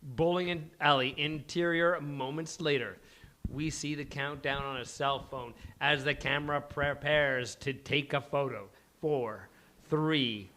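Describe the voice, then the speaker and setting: A man's voice: fairly high pitch, pushed and bright. A man reading scripted stage directions as the narrator of a live table read.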